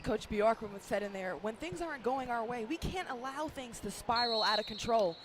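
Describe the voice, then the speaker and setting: A woman's voice: fairly high pitch, expressive, normal speed. A woman giving live courtside analysis and reports during a basketball broadcast. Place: courtside in an arena. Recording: noisy courtside arena sound. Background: basketball game noise, bouncing balls.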